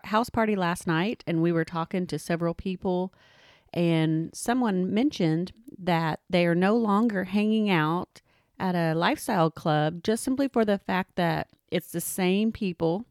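A woman with a fairly low voice, chatting earnestly as a podcast co-host. Her speech is conversational and unhurried. The sound is dry and close. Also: no room sound. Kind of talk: casual chat.

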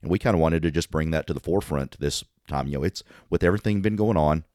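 A man with an extremely low voice that is hoarse and raspy, talking casually as a podcast co-host. The sound is dry and close to the mic. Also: fast talker, darkish tone, no room sound. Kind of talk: casual chat.

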